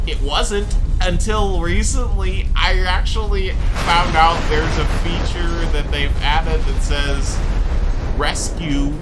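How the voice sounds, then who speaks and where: A man giving casual, rambling video game commentary, drifting off into asides. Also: fairly high pitch. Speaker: gameplay commentator. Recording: music behind the voice. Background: game music.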